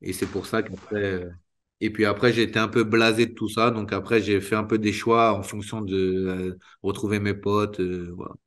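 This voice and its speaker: A man in his thirties, a former footballer, talking candidly in an interview over a video call, restarting sentences and filling pauses often. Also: on the low side, level tone, fairly bright tone. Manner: spontaneous, halting, many restarts and fillers. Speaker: retired footballer guest. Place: small room indoors. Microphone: video call webcam.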